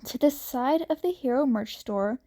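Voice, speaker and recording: A woman narrating fan fiction as a scripted audiobook, reading carefully and a little haltingly. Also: high-pitched, animated, mellow.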